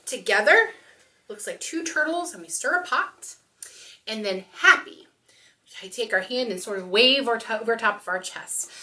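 A woman's voice: a little high, expressive, moderate pace, pushed and bright, recorded from a distance. A woman in her thirties hosting storytime, talking and reading aloud expressively to young children. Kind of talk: children's storytime talk and picture-book read-aloud. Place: small room indoors.

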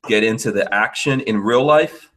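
A man's voice: lowish voice, normal speed. A middle-aged man from Guyana hosting a podcast on a headset mic, chatting casually and laughing often as he tells stories. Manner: laughs often, animated storytelling. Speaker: podcast host. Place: home studio, indoors.

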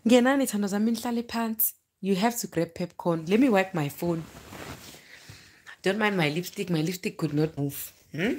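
A woman's voice: mid-range voice, moderate pace, a little hiss or hum. A South African woman in her thirties chatting casually and animatedly on a livestream, telling personal stories into a phone in a bedroom. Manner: chatty, animated, breaking off to greet viewers. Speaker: livestream vlogger, storyteller. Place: bedroom, indoors, lying in bed. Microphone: phone at arm's length.

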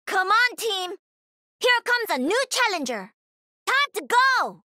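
A woman's voice: very high-pitched, very animated, takes it slowly, sharp tone, dry recording, clean studio sound, heavily acted. A woman voicing a cocky, playful young gamer who pilots a mech in a video game, with punchy, teasing callouts. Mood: confident, upbeat. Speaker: voice actor.

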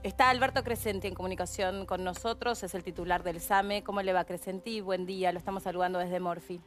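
A woman's voice: lowish voice, fairly even intonation, a little fast, fairly bright tone, faint music behind. A woman in her thirties with an Argentine accent, co-hosting a studio talk show and interviewing in a conversational way. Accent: Argentine Spanish. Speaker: TV show co-host. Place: TV studio set.

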